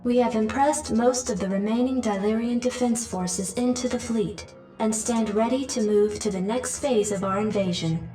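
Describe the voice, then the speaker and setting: Woman acting as crisp female ship adjutant in a game, highish voice, echoey, reporting formally. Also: moderate pace, warm tone, lightly acted. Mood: matter-of-fact. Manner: formal, matter-of-fact reporting. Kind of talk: scripted video game acting. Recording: echoey, distant, music behind.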